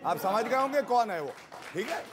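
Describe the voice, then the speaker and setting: A man's voice: very high-pitched, big pitch swings, normal speed, a crowd in the background, noisy background. A man speaking as a party spokesman in a TV debate, with a teasing, theatrical manner. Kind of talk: televised political debate.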